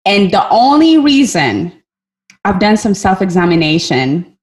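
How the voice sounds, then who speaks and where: A woman's voice: medium pitch, sing-song, measured pace. A woman who grew up in Kenya talks frankly on a video call. She thinks aloud and sometimes stops to search for the right word. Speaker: discussion participant. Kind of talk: candid group video-call discussion.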